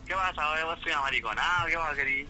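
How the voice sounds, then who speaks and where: A man's voice: a little high, quick pace, pushed and bright. A Chilean man arguing heatedly on a phone call in foul-mouthed, repetitive street slang. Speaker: prank call recipient. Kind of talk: heated informal phone argument. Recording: dull telephone line with music behind.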